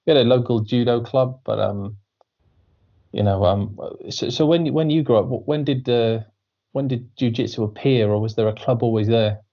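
A man hosting a casual interview, asking questions in a halting, hesitant way. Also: medium pitch. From Pembrokeshire, Wales. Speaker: podcast host. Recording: slightly dull, lo-fi audio.

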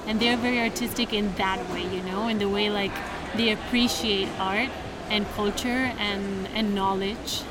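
A woman's voice: medium pitch, steady tone, moderate pace. A young woman from Spain with a Spanish accent, a native Spanish speaker, chatting casually as an interviewee and filling her talk with "like". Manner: chatty, many "like" fillers. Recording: noisy background ambience.

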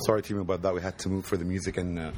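A man with a very low voice hosts an interview outdoors. He speaks in halting phrases and keeps restarting his sentences. Wind and street noise run behind the recording. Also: little pitch movement. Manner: hesitant, many fillers and restarts. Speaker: interviewer, podcast host. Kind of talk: casual interview. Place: outdoors at an art fair, wind and music around. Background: wind and background noise.

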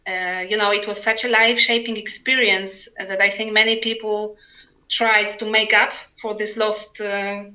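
Female writer guest, forties, bright forward voice, wry and humorous in remote conversation. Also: mid-range voice, flat delivery, normal speed. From Poland. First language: Polish. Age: 40s. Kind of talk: conversational interview. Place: remote internet call.

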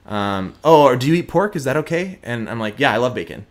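A young man from Houston with a faint Texas twang talks candidly in an interview. His voice sits at an ordinary middle pitch, and he presses his points with emphasis, often breaking off and restarting mid-sentence. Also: very animated, fairly quick. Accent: slight Texas twang. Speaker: guest, prosecutor.

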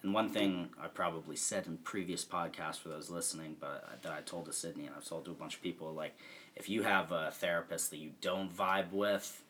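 A man's voice: low-pitched, steady tone, fairly quick, a bit bright, a little hiss or hum. A man hosting a podcast, talking in a loose, laid-back way and swearing casually. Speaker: podcast host.

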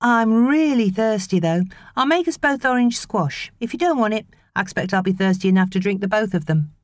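A woman's voice: mid-range voice, animated, brisk, no room sound, voice pushed up, natural voice. A woman voicing a chatty, caring teenage girl babysitter who gets a little exasperated, in an audiobook story. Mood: breezy.

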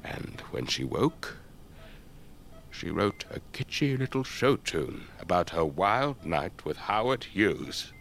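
A very deep, elderly English voice, that of a retired officer and urbane raconteur. He speaks slowly, with old-fashioned gentlemanly poise, as in a radio drama. There is some noise behind him. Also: big pitch swings, full character voice. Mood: wry, storytelling. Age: older.

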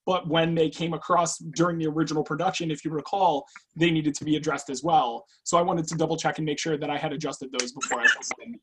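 A man, a playwright, discussing his writing casually in a halting, explanatory way. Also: fairly high pitch, steady tone, fairly bright tone, breathy edge, low-quality recording.

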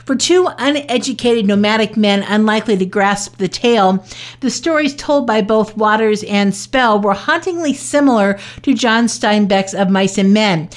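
A woman with a mid-pitched voice delivers a scripted news report. She reads as a reporter does, measured and matter-of-fact, laying out the details one sentence at a time.

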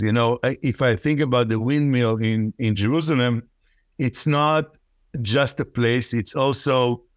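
A man from Israel, whose first language is Hebrew, speaking thoughtfully as a poet guest in an interview, pausing and laughing softly. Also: medium pitch, dry recording, clean studio sound. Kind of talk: reflective interview talk.

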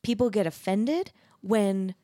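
Woman, thirties, American accent, middle pitch, informal podcast host, conversational, agreeable, dry sound. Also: slow pace, mellow, no echo. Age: 30s. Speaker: podcast host and university teacher. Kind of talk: casual conversational interview.

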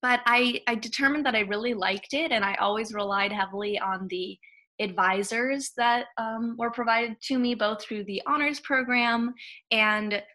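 A woman's voice: fairly high pitch, normal speed, pushed and bright. A woman in her twenties with an American accent, giving conversational, reflective answers as a podcast guest. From Hawaii, United States. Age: 20s. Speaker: podcast guest, political science graduate.

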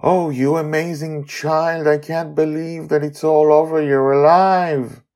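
A man's voice: fairly high pitch, level tone, measured pace, darkish tone, pitched above the performer's own voice. A man voicing a blind young mother, loving and anxious, asking eager questions in a raised put-on voice. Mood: joyful.

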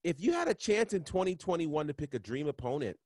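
A man hosting an interview, expressive and quick to laugh. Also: a little high, dry recording. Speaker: interviewer host. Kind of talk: casual podcast interview.

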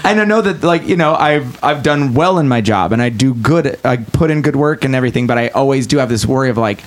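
A man's voice: medium pitch, expressive, fast talker. A man talking casually and candidly in a podcast conversation, self-deprecating, laughing often and swearing freely. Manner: swears casually, speeds up when acting out his thoughts. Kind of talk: casual chat.

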